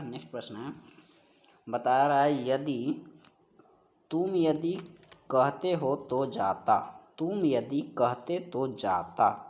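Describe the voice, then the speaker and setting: A man with a medium-pitched voice gives an exam-prep lesson. He works through practice questions in an instructive way, saying questions and answers over again so that they stick. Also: darkish tone, a little hiss or hum. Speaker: Hindi grammar teacher for exam preparation.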